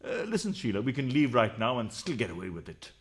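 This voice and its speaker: An actor playing a suspicious, stingy, blustering adulterous husband in a farcical radio play, always accusing. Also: male voice, mid-range voice, big pitch swings, fast talker, breathy edge.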